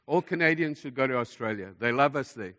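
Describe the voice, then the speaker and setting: A man's voice: mid-range voice, animated, brisk. A man preaching a sermon in an emphatic manner, repeating phrases for effect as a preacher to a congregation. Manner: emphatic, repeats phrases for effect, swings between hushed and forceful delivery. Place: large hall, speaking to a congregation. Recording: echoey hall with long reverb.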